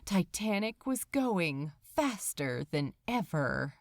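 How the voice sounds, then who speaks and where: A woman reading a story aloud as narrator, with deliberate, dramatic phrasing. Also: fairly low pitch, very animated, no echo, clean studio sound. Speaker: audiobook-style fan fiction narrator. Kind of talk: expressive scripted story narration.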